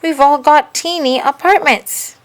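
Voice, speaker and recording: A woman's voice: sits high, unhurried, voice pushed up. A woman voicing a brash, doubting schoolboy in a children's story. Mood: challenging.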